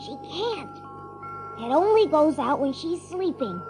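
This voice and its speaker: Eager, bold child adventurer for audio drama, very high voice, unhurried pace, theatrical. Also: very animated, music in the background, exaggerated voice. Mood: earnest.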